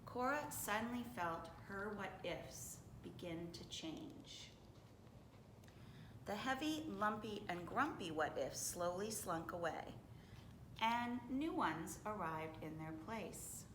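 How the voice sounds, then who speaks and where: A woman reading a children's story aloud expressively, with deliberate, dramatic phrasing. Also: lively intonation. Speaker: storybook reader. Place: indoors, library. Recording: echoey room with faint rumbling noise.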